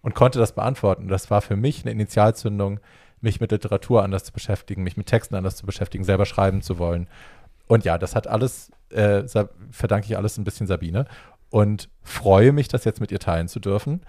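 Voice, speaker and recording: A young adult man telling a personal story in casual chat, acting out the dialogue with lively energy. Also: on the low side, a little fast, no room sound, clean studio sound.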